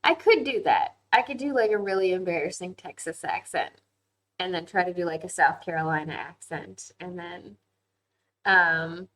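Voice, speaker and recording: A woman's voice: on the low side, normal speed, a bit bright, poor audio. A woman hosting a casual live online class, reading viewer comments and talking them through in a chatty, candid way. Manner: chatty and candid, with frequent um fillers and easy laughs.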